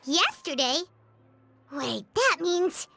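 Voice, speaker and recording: A super squeaky little fairy sidekick for a game, eager n curious, bright and bouncy. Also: female voice, sing-song, slow pace, creaky, soft background music, full character voice. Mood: surprised. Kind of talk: scripted video game voice acting.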